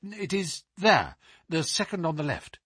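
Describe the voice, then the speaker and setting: A man's voice: a little high, sing-song, measured pace, sharp tone, breathy edge, no echo, clean studio sound, pitched above the performer's own voice, full character voice. A man voicing an earnest, anxious, deferential assistant in a storybook reading, respectful and pleading. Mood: apologetic, worried. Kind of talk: dramatised audiobook reading.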